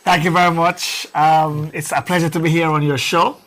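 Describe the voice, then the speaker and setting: A man's voice: a little high, level tone, moderate pace, a bit bright. A middle-aged man from Jamaica with a Jamaican accent, an actor and comedian chatting as a guest on a talk show.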